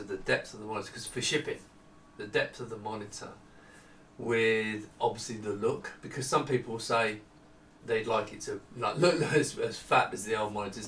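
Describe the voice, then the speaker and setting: A middle-aged man giving a casual, unscripted product walkthrough in a halting, conversational manner. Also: on the low side, fairly bright tone. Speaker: product designer presenting his monitors. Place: small home office indoors with studio lighting. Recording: echoey room, distant mic, faint noise.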